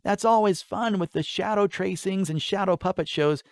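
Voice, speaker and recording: A man voicing a shy, modest young schoolgirl with a quiet, gentle, polite manner in a fantasy audiobook. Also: sits high, moderate pace, vocal fry, no room sound, studio quality, pitched above the performer's own voice.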